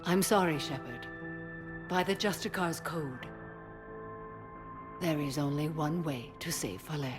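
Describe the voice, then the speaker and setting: A dutiful warrior mother from a video game, bound by a strict code. Her low voice is grave and measured, with formal phrasing. Music plays clearly behind her. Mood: sorrowful resolve. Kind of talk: dramatic video game acting.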